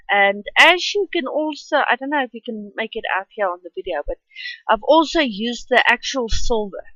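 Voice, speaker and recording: A woman with an Afrikaans accent talks through her drawing in a slow, unhurried, rambling way. Her voice sits a little high. The home recording sounds dull, lo-fi and dry. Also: sharp tone, dry recording. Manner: chatty and rambling, with many ums. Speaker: artist narrating her drawing. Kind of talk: casual voice-over for a speed drawing.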